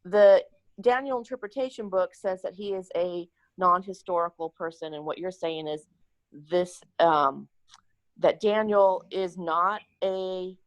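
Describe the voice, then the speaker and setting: Casting: female class participant, slightly low voice, very dry sound, earnest conversational questioner. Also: a little slow, no echo, low-quality recording.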